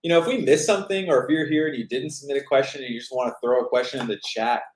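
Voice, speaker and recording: A man's voice: mid-range voice, rapid-fire, echoey room, poor audio. A young man from the US Pacific Northwest co-hosting a casual live-stream chat at home, easygoing and rambling with fillers. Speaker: co-host of a couple's channel.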